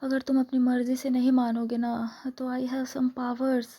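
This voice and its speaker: Seductive lovestruck female vampire , played by a woman for romantic ASMR role-play: higher-pitched yet mellow, soft, half-whispered, intimate and possessive. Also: completely flat delivery. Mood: possessive, menacing. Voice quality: soft, breathy, often whispered. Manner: intimate, slow, coaxing, repeats phrases.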